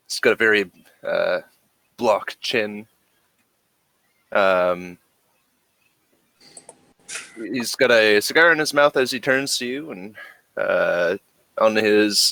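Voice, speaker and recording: A man improvising narration as game master of a tabletop game, halting as he searches for words. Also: lowish voice, vocal fry, a bit bright, no echo.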